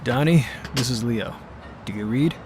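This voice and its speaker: A man voicing a responsible, protective mutant turtle leader with a serious, steady manner in an audio drama. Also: medium pitch, moderate pace, background noise, lightly acted. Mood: concerned, steady. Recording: music behind the voice.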